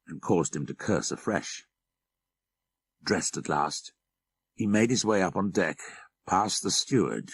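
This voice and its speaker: A man reading a classic horror story as an audiobook narrator, with measured, literary phrasing and dramatic delivery. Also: low voice, creaky, slightly rough. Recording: 1990s audiobook cassette.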